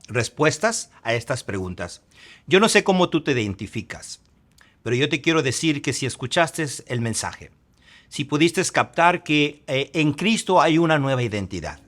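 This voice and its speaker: A man's voice: on the high side, fairly bright tone. A man, a pastor, co-hosting a faith talk, affirming his partner, reading scripture and closing the segment. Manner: affirming interjections, reads scripture, closes the segment. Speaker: pastor and co-host. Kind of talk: conversational teaching on faith.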